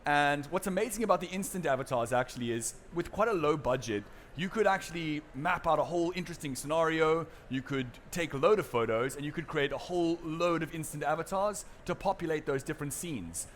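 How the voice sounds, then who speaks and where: South African male presenter, conference stage, relaxed, filler-heavy delivery, faint hiss behind. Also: on the high side, a little fast, few pauses. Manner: casual and chatty, many fillers and restarts. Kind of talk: conference seminar talk with audience questions.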